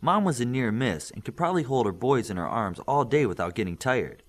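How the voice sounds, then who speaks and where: A man reading a superhero story aloud as scripted narration, in an expressive storytelling manner. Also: medium pitch, brisk. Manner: expressive storytelling, acting out dialogue. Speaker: audiobook narrator.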